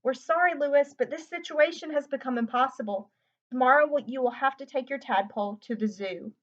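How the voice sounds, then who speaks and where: Fed-up storybook mum, read by a woman: firm, scolding, higher female pitch, slightly dull lo-fi sound. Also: fairly quick. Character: strict, exasperated mother of a young boy.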